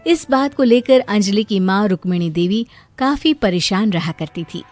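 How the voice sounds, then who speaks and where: A woman's voice: medium pitch, normal speed, faint music behind. Woman narrating a cartoon moral story. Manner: calm, explanatory.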